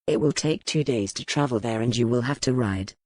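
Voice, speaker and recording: A woman voicing a stern, formal medieval duke and warrior in a historical romance audiobook, with terse, measured courtly phrasing. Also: a bass voice, a little mellow, no room sound, voice pushed down.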